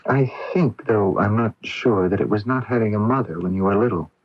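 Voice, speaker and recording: Husky, gentle young radio-drama doctor played by a man. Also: lowish voice, no echo, close to an everyday voice. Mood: gentle, uneasy. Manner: halting, hesitant, soothing. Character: kind, earnest young male medical intern, gently evasive. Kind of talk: 1980s radio drama acting. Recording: dull lo-fi 1980s AM radio recording.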